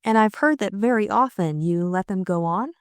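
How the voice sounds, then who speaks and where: A woman's voice: medium pitch, normal speed, clean tone, no room sound, studio quality, lightly acted. A woman voicing a spirited young upper-class lady sleuth, polite but direct and probing, in an audiobook story. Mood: polite, persistent.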